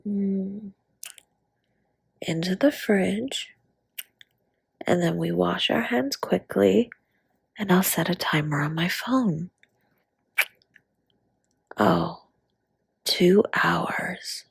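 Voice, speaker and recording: A woman playing a flirty, doting dragon baker spouse in an intimate romantic audio roleplay, speaking in a husky, breathy, teasing way that often drops to a whisper. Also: fairly low pitch, drawn-out words, long pauses, no room sound, exaggerated voice. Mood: flirty, tender.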